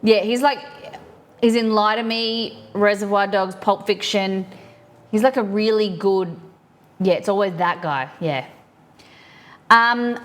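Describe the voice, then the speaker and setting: A woman hosting a live stream, chatting casually and reading trivia clues aloud to her viewers while baking in her kitchen. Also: fairly high pitch. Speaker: streamer host.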